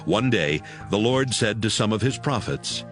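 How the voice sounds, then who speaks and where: Low, expressive male scripture narrator. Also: normal speed. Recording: music bed behind narration.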